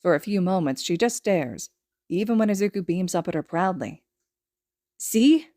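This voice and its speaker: A woman reads fiction aloud as a podfic narrator. Her voice sits a little low, and her delivery is scripted and expressive. The sound is very dry, with no room on it. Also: brisk, dry recording.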